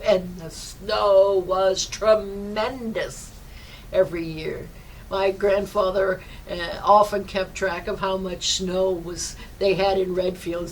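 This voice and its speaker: An older woman, a chaplain, giving a rambling devotional talk in a low-pitched, loud and projecting voice. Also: recorded from a distance, slight background noise, poor audio. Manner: loud and forceful, rambling personal stories.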